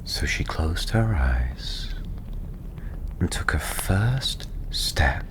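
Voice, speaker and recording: A softly spoken, half-whispering storyteller narrating a fantasy bedtime tale in a soothing, unhurried ASMR style. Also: extremely low pitch, big pitch swings. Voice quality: soft, breathy, half-whispered. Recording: constant ambient noise behind the voice. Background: ambience, crackling tavern fire.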